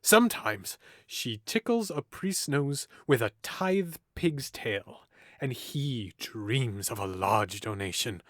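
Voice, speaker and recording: An actor playing a witty, mocking young nobleman in an audio drama, playful and theatrical, with hushed and loud moments. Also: mid-range voice, animated, takes it slowly, no echo, studio quality.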